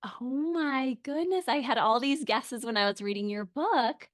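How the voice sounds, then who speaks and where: A woman hosting a podcast interview, her voice a little raspy, talking in a bubbly, effusive way and laughing often. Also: sits high, measured pace. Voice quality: raspy today. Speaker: podcast host.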